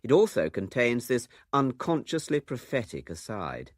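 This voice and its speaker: A man's voice: mid-range voice, big pitch swings, moderate pace, dry recording, studio quality. A man with a British accent reading scripted narration for a radio documentary.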